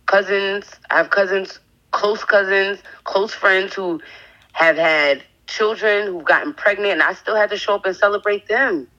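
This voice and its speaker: A woman in her thirties, a podcast guest sharing a candid personal testimony in a halting way, with many fillers and restarts. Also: mid-range voice, pushed and bright, lo-fi sound. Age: 30s.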